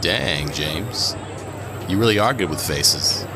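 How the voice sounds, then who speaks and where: An actor playing a cautious, hopeful police officer in an audio drama, earnest and questioning. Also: male voice, low voice, lively intonation, takes it slowly, a crowd in the background, heavily acted. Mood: curious, hopeful. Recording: outdoor city noise behind. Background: urban street ambience.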